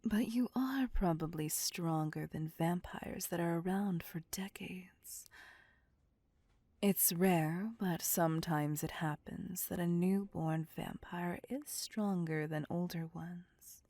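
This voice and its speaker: A woman acting a slow, husky, whispering vampire seductress. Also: fairly low pitch, warm tone, dry recording. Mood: teasing, smug. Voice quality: breathy, husky. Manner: slow, teasing, seductive, domineering. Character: possessive, cocky, sadistic female vampire captor, playfully menacing. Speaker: voice actor performing audio roleplay. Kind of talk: ASMR roleplay, breathy close whispering.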